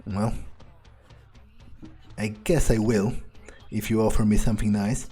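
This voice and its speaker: A man's voice: on the low side, fairly even intonation, a little slow, warm tone, soft background music, close to an everyday voice. A man roleplaying a callous, amused vampire in a video game, musing in a drawling, self-satisfied way. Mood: scheming, casual. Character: smug, amused, callous male vampire schemer. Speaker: gamer playing in character.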